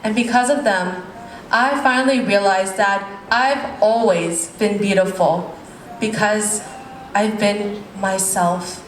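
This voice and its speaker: A woman's voice: mid-range voice, fairly even intonation, slow pace. A young woman giving an earnest, heartfelt pageant speech as a contestant on stage. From United States. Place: large echoey hall. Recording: echoey hall from far off, faint hiss.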